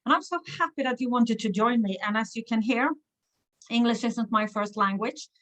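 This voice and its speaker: A woman's voice: a little high, normal speed, fairly bright tone, poor audio. A woman from Sweden with a Swedish accent, speaking English as a second language, hosting a live stream as a business coach and addressing viewers directly in an encouraging way. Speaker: online business coach and livestream host. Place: hotel room.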